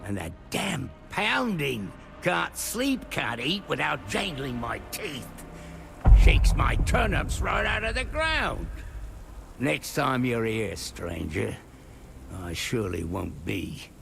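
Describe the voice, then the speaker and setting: A grouchy game farmer, super gravelly, voice swings all over, music behind. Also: male voice, mid-range voice, big pitch swings, takes it slowly, exaggerated voice. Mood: exasperated. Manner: grumbling, theatrical. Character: exasperated gravelly turnip farmer, grumbling and fed up. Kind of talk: video game character acting.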